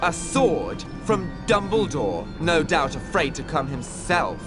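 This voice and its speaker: A menacing young dark wizard from a video game, taunts with grand arrogance. His voice sits a little high and moves slowly and theatrically, under loud music. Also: big pitch swings. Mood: taunting.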